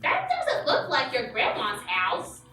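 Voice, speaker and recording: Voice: high voice, huge pitch swings, slow pace, pushed and bright, full character voice. A performer voicing a timid, anxious young puppet friend who is jittery and repeats words in a puppet show. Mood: hesitant, worried. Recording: roomy echo, distant mic, music behind.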